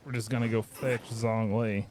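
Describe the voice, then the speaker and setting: A man's voice: fairly low pitch, little pitch movement, normal speed, a little mellow, a little hiss or hum. A man giving casual gameplay reaction commentary as a streamer. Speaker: gaming streamer.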